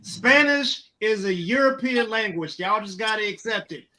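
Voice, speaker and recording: Teasing high-voiced man over crowd noise. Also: animated, normal speed. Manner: joking, teasing, dialect slang. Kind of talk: casual group chat.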